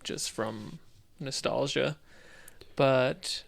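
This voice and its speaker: A man's voice: medium pitch, extremely slow, gravelly. A man co-hosting a casual discussion of video games, giving his opinions in a hesitant, conversational way. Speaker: podcast co-host.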